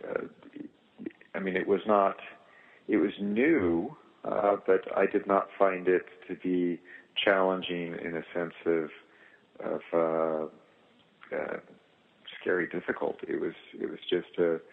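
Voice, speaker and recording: A man speaking as a surgeon on a conference call, explaining his clinical experience in halting phrases with pauses. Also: low-pitched, fairly even intonation, vocal fry, narrow, tinny audio. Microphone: telephone. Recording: thin band-limited telephone conference line.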